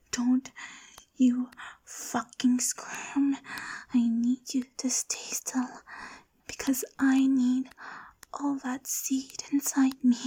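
A woman's voice: high-pitched, mellow. A woman playing a domineering, possessive lover in an intimate audio roleplay, whispery and commanding. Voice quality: breathy, whispery. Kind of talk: adult ASMR audio roleplay.

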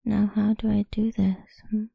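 A woman speaking in a soft, slow, coaxing whisper for a close-mic ASMR roleplay. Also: mid-range voice, flat delivery, creaky, breathy edge, no echo, narrow, tinny audio.

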